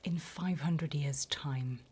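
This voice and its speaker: Woman acting as a female demon from Hell, breathy whisper, slow and deliberate, low-pitched, sly, sinister, teasing, ASMR roleplay. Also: a little mellow, full character voice. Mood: silky, probing.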